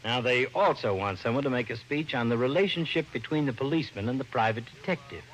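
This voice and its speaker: An actor playing a gruff American police lieutenant putting on stiff, self-important dignity in 1940s radio style. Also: male voice, mid-range voice, fast talker, fairly bright tone, lightly acted. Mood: proud, eager. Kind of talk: 1940s radio detective acting. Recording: noisy old-time radio recording.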